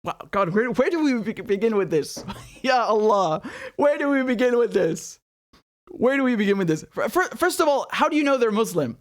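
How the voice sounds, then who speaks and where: Animated, indignant man, high voice. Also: moderate pace. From Syria. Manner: indignant, sarcastic, repeats words when worked up. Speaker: political commentator host. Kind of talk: animated commentary to camera. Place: small indoor studio room. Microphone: studio mic on boom arm.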